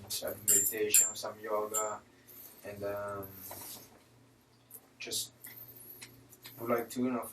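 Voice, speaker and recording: A man introducing himself hesitantly to a group, with many pauses and fillers. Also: lowish voice. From Italy. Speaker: class participant. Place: clinic room. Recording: roomy, distant mic, faint noise.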